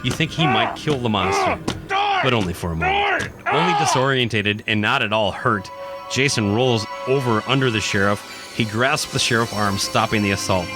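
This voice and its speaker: A man's voice: medium pitch, sing-song, moderate pace. A man reading a horror radio play as narrator, in a suspenseful, theatrical style. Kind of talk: dramatic scripted horror narration. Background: prominent music.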